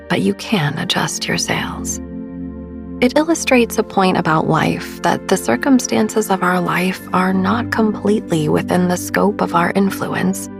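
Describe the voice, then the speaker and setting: A woman speaking in a soothing, hushed, often near-whispered voice as she guides a sleep meditation, breathy and husky with vocal fry, pausing gently between phrases. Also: fairly low pitch. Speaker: podcast host, meditation guide. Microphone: close studio microphone, dry.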